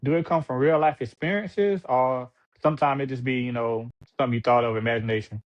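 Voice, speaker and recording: A man's voice: medium pitch, brisk. A man hosting a podcast, asking questions in a laid-back, slangy, hype-man way. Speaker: podcast host. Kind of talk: casual podcast interview. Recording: dull lo-fi sound.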